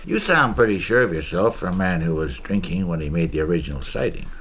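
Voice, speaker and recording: An actor playing a blunt, sceptical intelligence interrogator in a radio drama, informal and needling. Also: male voice, low voice, animated, fast, a bit bright, husky, heavily acted.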